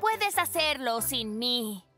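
Kids' cartoon bear cub, voiced by a woman: high, bright tone, sincere and gentle, deliberate delivery with a stubborn streak. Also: very animated, faint music behind, full character voice. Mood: worried. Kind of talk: dubbed children's cartoon acting.

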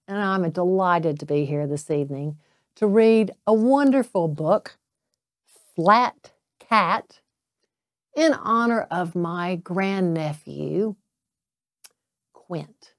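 A middle-aged woman reading a children's bedtime story aloud, with deliberate, drawn-out emphasis on phrases. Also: lowish voice, no room sound, clean studio sound. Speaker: storybook reader, local insurance agent.

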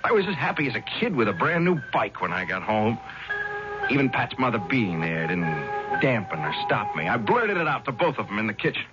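Expressive, intense young man. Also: on the low side, brisk. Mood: relieved. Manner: intense, blunt, working-class phrasing. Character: bitter, hot-headed young football player turned vengeful husband. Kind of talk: 1970s radio drama acting and narration. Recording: dull 1970s radio recording, music behind.

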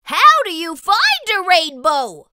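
A woman's voice: very high-pitched, sing-song, slow pace, sharp tone, a little hiss or hum, exaggerated voice. A woman voicing a small, curious, childlike spot creature who eagerly asks lots of questions in a children's storybook read-aloud.

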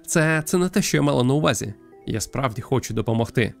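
A man voicing a kind, earnest girl spirit in a visual novel, gently coaxing as she offers help. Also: mid-range voice, animated, quick pace, gravelly, faint music behind.

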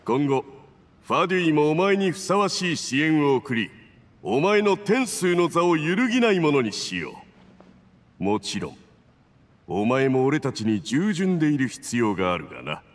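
Smug scheming villain, lively intonation. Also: male voice, fairly high pitch, normal speed, long reverb tail. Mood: patronizing praise. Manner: smug, patronizing, commanding. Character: scheming, domineering Fatui agent toasting his accomplice. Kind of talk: anime video game dialogue.

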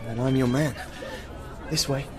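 A man's voice: mid-range voice, fairly even intonation, a little slow, lightly acted. An actor playing an eager, gallant young man in a radio drama, earnest and courteous. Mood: smitten, eager. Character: eager, gallant young great-nephew, visitor from abroad. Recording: radio play, loud music and crowd.

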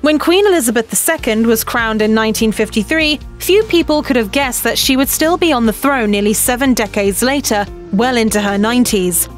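A woman with a fairly high voice narrates a scripted documentary segment in a brisk, even way. Soft music runs faintly beneath her voice-over.

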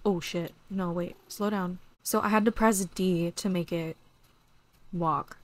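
A young woman with a voice going hoarse, chatting casually and excitably while she plays a horse game at home. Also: on the low side, takes it slowly, no echo, rough recording. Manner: chatty, excitable, lots of "like" and "literally". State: losing her voice. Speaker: gaming YouTuber. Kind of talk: casual gameplay commentary.

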